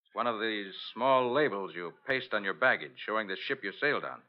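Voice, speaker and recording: Confident private eye played by a man, low, animated delivery, vintage radio detective style. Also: brisk, natural voice. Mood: intent, deductive. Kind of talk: old-time radio detective acting. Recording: dull old radio recording.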